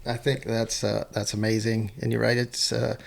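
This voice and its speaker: A man hosting an interview podcast, speaking in a halting way, often restarting and repeating words. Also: fairly low pitch, little pitch movement, vocal fry. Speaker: podcast host. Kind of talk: conversational interview.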